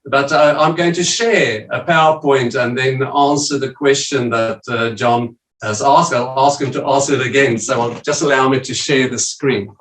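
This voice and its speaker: A man's voice: medium pitch, steady tone, normal speed, a bit bright. South African man, hesitant, roomy distant sound. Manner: hesitant, many "uh" fillers. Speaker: visiting church leader presenting. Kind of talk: casual talk on a video call. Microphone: video call.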